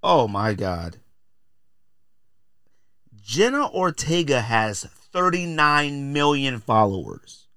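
A man's voice: mid-range voice, very animated, slow, pauses often, pushed and bright, clear, resonant tone, no echo, studio quality. A man hosting a podcast in a casual, opinionated rant, emphatic and exasperated, repeating phrases to drive points home. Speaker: podcast host.

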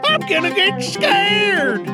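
A man's voice: very high voice, big pitch swings, slow pace, over loud music, voice pushed up, heavily acted. A man voicing a jittery, easily frightened traveler who asks panicky, whiny questions in an audio drama.

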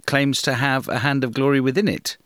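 A man's voice: mid-range voice, fairly even intonation, fairly quick, fairly bright tone, dry recording, studio quality. A man co-hosting a podcast with casual chat and joking interjections. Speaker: podcast co-host.

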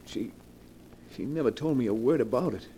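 An actor playing an anguished, bewildered husband in 1940s radio melodrama, pleading in a halting, agitated way. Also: male voice, medium pitch, warm tone, slightly rough, rough recording. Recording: vintage 1940s radio broadcast.

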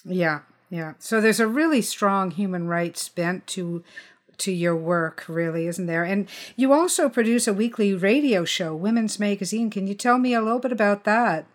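A woman's voice: medium pitch, normal speed. A woman hosting a conversational interview in an effusive, affirming way. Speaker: podcast host interviewing a writer.

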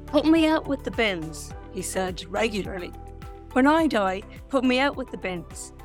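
A woman's voice: on the high side, lively intonation, unhurried, music in the background. A woman novelist from Ireland with an Irish accent, chatting and reading aloud from her book. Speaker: novelist being interviewed. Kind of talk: informal chat and reading aloud from own novel.

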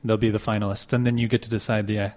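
A man co-hosting a casual podcast, joking around with halting restarts. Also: lowish voice, flat delivery, a little mellow. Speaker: co-host.

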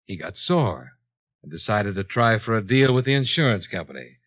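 An actor playing a shrewd, unhurried insurance investigator in a 1950s radio drama, with a dry, matter-of-fact delivery. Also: male voice, lowish voice, big pitch swings, a bit bright. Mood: coolly accusing. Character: cool, shrewd male insurance investigator, hard-boiled and unhurried. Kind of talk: 1950s radio detective acting. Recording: dull 1950s radio broadcast recording.

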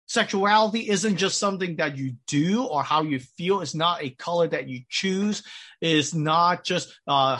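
A pastor delivering a sermon with an American accent, measured and pausing, with frequent restarts. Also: male voice, sits high, a bit bright. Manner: measured preaching with pauses and restarts.

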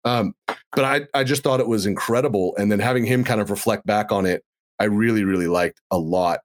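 A man's voice: on the low side, a little fast. A man hosting a podcast, chatting enthusiastically and playfully, with rambling asides. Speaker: podcast host. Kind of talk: casual chat.

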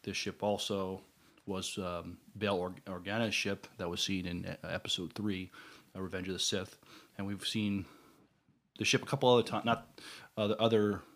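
A man co-hosting a casual fan podcast, talking in a halting, self-mocking, geeky way. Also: sits low, fairly even intonation. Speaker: podcast co-host.

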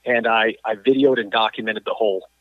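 A man, a coach and athlete, telling his story as an interview guest, halting with frequent restarts and fillers. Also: lowish voice, steady tone, no room sound. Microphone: phone or call line. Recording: tinny phone line.

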